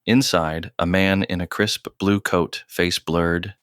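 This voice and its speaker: A man narrating a scripted audio drama in a measured, terse storytelling manner, recorded close and dry. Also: deep voice, fairly even intonation, fairly bright tone, clear, resonant tone, no room sound.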